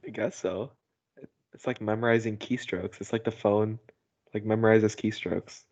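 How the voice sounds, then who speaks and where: A man's voice: lowish voice, steady tone, normal speed, a little mellow. A young man chatting casually and in a rambling, giggly way as a podcast host on a voice call. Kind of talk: casual chat. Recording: dull, lo-fi call audio.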